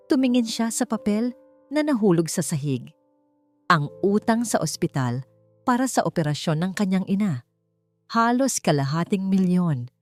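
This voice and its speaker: Filipina accent lady narrating a dramatic love story, really deep for a woman, dry sound. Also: no echo.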